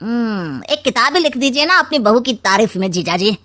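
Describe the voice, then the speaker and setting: An actor playing a sly, scheming middle-aged uncle in a cartoon story, with smug, taunting, theatrically drawn-out rhyming quips. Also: male voice, extremely high pitch, animated, pushed and bright, dry recording, exaggerated voice. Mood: sarcastic. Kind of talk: Hindi cartoon story acting.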